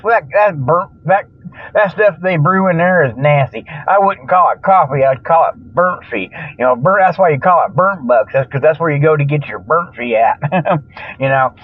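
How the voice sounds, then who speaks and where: A man hosting a comedic radio show, giving folksy, rambling mock sponsor pitches and chuckling at his own jokes. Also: dry recording. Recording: thin phone-like band-limited sound.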